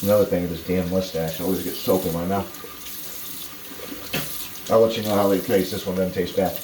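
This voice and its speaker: Man, very deep voice, casual rambling vlog talk, goofy and hyper, echoey home bathroom. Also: fairly even intonation, fairly quick, noisy background. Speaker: shaving vlogger. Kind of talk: casual chat to camera. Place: small home bathroom, indoors.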